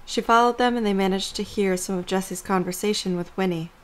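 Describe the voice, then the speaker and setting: A woman's voice: medium pitch, brisk. A woman reading scripted story narration as the narrator of an audio drama.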